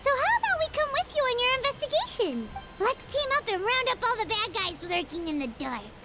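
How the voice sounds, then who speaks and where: A woman voicing a tiny, childlike floating girl companion in a video game, cheeky, chatty and animated. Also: fairly quick, soft background music, exaggerated voice. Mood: eager. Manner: squeaky, animated, refers to herself by name.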